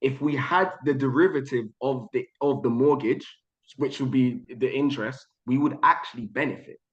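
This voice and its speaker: A man's voice: medium pitch, moderate pace. A man taking part in a casual online debate, plain-spoken and firm. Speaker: participant in an online discussion. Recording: dull, lo-fi online call audio.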